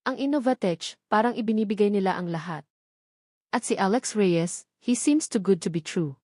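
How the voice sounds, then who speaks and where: A woman's voice: mid-range voice, moderate pace, darkish tone, dry recording, studio quality, lightly acted. A woman with a Filipino accent voicing a watchful, protective mother in an audio drama. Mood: worried.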